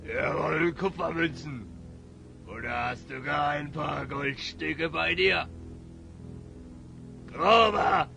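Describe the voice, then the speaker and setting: A man playing a menacing, blustering robber chief in a fantasy audio drama, with a gravelly, sharp voice and a taunting, theatrical delivery. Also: a little high, takes it slowly, pauses often, over music, full character voice. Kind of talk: German children's fantasy audio drama acting.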